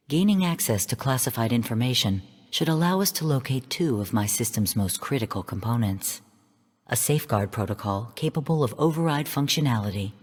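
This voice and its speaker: An actress playing a female artificial intelligence mentor in a video game, delivering mission briefings in a calm, stern, measured and formal way. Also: very deep voice, husky, in a large hall, heavily acted. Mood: cool, informative. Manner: measured, formal, cool, precise. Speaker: game guide and mission narrator.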